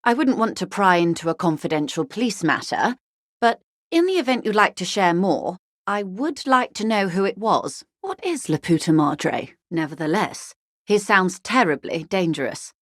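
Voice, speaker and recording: An actress playing a wealthy corporate negotiator in a video game, refined and polished, speaking with urbane, wryly courteous, measured irony. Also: female voice, lowish voice, animated, no room sound, clean studio sound. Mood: courteous, concerned. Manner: urbane, measured, dryly ironic. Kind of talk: scripted game dialogue, conversational.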